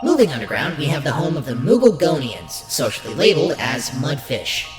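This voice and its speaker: Roomy-sounding narrator over loud music. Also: medium pitch, very animated, fairly quick. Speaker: narrator and show host. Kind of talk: scripted documentary-style narration. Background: loud background music.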